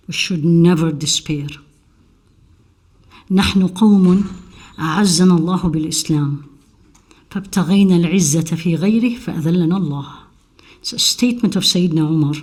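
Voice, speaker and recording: A woman's voice: on the low side, darkish tone. An earnest woman from Iraq lectures to a conference audience as a visiting physician. She presses her points with rhetorical questions and moves easily between English and recited Arabic prayers and verses. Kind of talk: faith-based public lecture.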